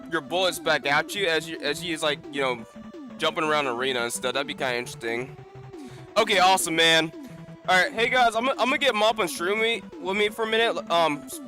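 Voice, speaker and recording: Male gaming streamer, bright, slightly high voice, rambling casual commentary, game music behind. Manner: rambling, many fillers and restarts.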